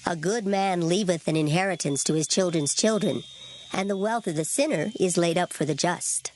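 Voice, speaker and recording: A woman giving a dramatized reading of scripture in formal old English, measured and solemn. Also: lowish voice, a little hiss or hum, lightly acted, poor audio. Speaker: scripture reader. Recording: loud music behind the voice. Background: music.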